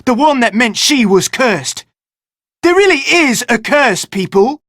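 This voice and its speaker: A man's voice: very high-pitched, very animated, measured pace, fairly bright tone, dry recording, studio quality, exaggerated voice. A man voicing a sarcastic, dramatic, curse-obsessed film crew member in a video game, with theatrical delivery. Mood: alarmed, urgent.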